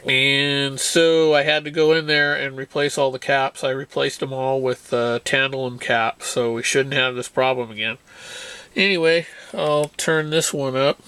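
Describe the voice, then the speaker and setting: Bright, clear-voiced man chatting. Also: mid-range voice, fairly even intonation, measured pace, no room sound. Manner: rambling, self-interrupting, technical. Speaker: radio hobbyist. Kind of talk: casual show-and-tell.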